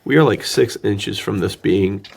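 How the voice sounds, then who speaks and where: A man's voice: fairly low pitch, unhurried, creaky, breathy edge. A man co-hosting a podcast, chatting casually and jokingly about film in an informal way. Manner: informal, joking, prone to chuckling. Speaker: podcast co-host.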